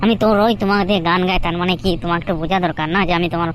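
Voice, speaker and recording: A woman's voice: lowish voice, fairly even intonation, very fast, a little hiss or hum, lightly acted. A woman voicing a crude, lovelorn young village shopkeeper who grumbles and pleads in a comedy cartoon. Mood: pleading.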